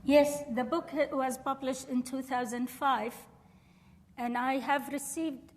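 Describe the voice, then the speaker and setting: A woman from Syria whose first language is Arabic, giving a measured, earnest university lecture and answering questions. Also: sits high, steady tone, big-room sound. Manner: measured, earnest, formal phrasing. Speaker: visiting scholar and lecturer. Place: lecture room.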